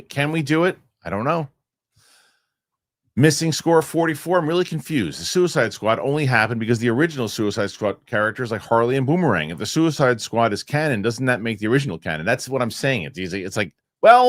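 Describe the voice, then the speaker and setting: A man hosting a casual podcast chat, animated and rambling, often restarting his sentences. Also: medium pitch, a little fast, dry recording, studio quality. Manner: animated, rambling, self-correcting with repeated starts. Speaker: show host.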